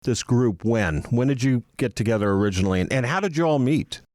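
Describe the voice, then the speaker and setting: A man hosting a podcast in a studio, introducing guests and asking upbeat, quick questions. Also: medium pitch, lively intonation, a little mellow, no echo. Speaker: podcast host. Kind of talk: interviewing guests.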